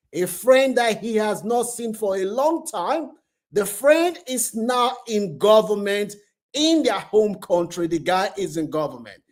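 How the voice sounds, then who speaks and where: A man's voice: sits high, a little mellow. A man hosting an online channel, telling a story in an emphatic way and repeating phrases for effect. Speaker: YouTube channel host. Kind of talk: storytelling commentary to camera.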